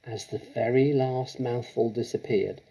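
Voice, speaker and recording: Male storybook reader: husky, creaky voice, expressive and dramatic narration, mid pitch. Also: measured pace, poor audio.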